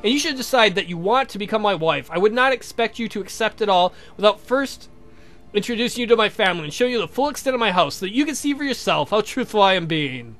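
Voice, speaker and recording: A man's voice: high-pitched, expressive, fast, a bit bright, faint music behind. A man voicing a courtly, lovestruck vampire count from a visual novel game, speaking formally and earnestly. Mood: earnest reassuring. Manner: formal, verbose, confessing.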